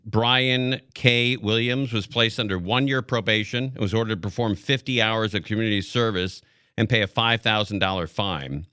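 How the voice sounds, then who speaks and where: An American man hosting live radio talk, commenting casually on the news in a rambling, halting way, as if thinking aloud. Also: mid-range voice, bright, forceful tone, clear, resonant tone, no room sound, studio quality. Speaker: radio talk show host. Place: radio studio. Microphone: close broadcast studio mic.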